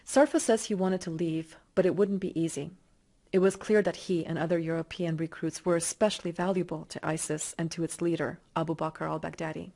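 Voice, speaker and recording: Female reporter; mellow, lower-pitched voice, composed delivery for narration and questions. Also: fairly even intonation, brisk. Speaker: journalist. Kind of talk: news narration and interview questions.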